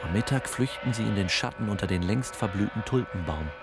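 A man's voice: fairly low pitch, animated, brisk. A man narrating a literary radio play, telling the scene like a story. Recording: music under the voice.

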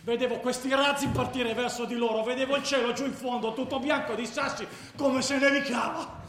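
An actor playing a football ultra who tells what he saw as a colloquial, animated eyewitness in a radio drama. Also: extremely high pitch, brisk. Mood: vivid recounting. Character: street-level male football ultra, vivid eyewitness storyteller. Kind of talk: dramatized radio narration in a choral ensemble. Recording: very echoey, faint noise.

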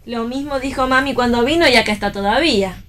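A woman's voice: a little high, fast talker, a bit bright, lightly acted. An Argentine woman playing a testy, dry-witted young pregnant wife in a radio play reading. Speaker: actress in a read-theatre radio play.

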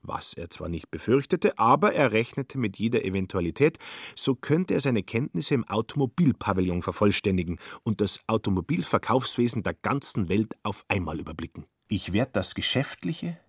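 A man with a husky voice reading scripted literary narration in a radio play. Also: on the low side, very animated, moderate pace, no echo, clean studio sound, heavily acted.

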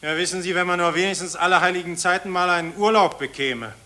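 An actor playing a weary, curt army lieutenant at the front in a radio drama, speaking tersely and matter-of-factly. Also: male voice, sits high, quick pace, pushed and bright. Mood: weary, dry. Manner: terse, dry, matter-of-fact.

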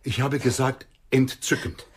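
Bitter, husky-voiced homeless man. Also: medium pitch, steady tone, measured pace. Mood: cutting. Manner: theatrical, blunt, insulting. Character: bitter, cutting homeless man on a blind date. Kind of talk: radio play acting.

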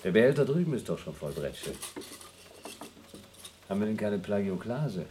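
A dry, pedantic astronaut. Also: male voice, on the low side, big pitch swings, moderate pace, warm tone, slight background noise. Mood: calm. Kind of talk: 1970s German radio play acting.

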